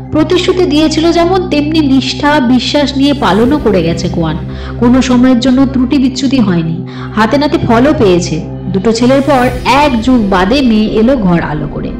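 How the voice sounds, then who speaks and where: A woman reading a suspense story as a dramatic, scripted literary narration in an expressive, deliberate way. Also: a little high. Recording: slightly roomy, with music behind. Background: soft background music.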